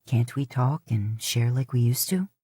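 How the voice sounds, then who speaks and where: A woman's voice: very deep voice, unhurried, warm tone, breathy edge, dry recording, studio quality, pitched below the performer's own voice, heavily acted. A woman voicing a brooding young tribesman, a spurned suitor who pleads and accuses. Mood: wistful.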